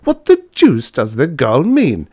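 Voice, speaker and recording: A man's voice: medium pitch, very animated, fairly quick, no echo, studio quality, pitched above the performer's own voice, lightly acted. A man voicing an irascible, pompous older professor in a storybook reading, blustering and imperious. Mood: exasperated. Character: irascible, pompous older male German professor, bellowing indignantly.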